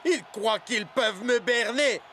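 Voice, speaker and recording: A man's voice: very high voice, unhurried, raised voice, a crowd in the background, background noise. A man voicing a scheming, gloating villain coach in a French-dubbed cartoon, with a menacing delivery. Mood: indignant, defiant. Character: scheming male villain coach who hypnotises players.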